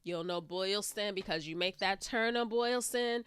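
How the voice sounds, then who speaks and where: A woman's voice: mid-range voice, unhurried, fairly bright tone, smooth, dry recording. Stuffy-nosed woman hosting a chatty podcast. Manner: chatty, rambling, lots of "like" and "you know". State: congested, a little stuffy. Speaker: podcast host. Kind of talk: casual solo podcast monologue.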